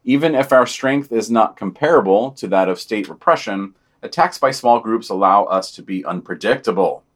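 A man's voice: lowish voice, animated, moderate pace. A man hosting a podcast, reading a scripted news digest and long quotations aloud. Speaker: podcast host.

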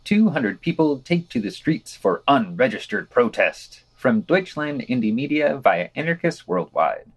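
A man reads news for a podcast from a script. His voice sits at a middle pitch and swings in a lively way, with dry, wry commentary slipped in between the quotations. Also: a little slow, hardly any pauses, breathy edge, poor audio. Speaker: podcast co-host reading news.

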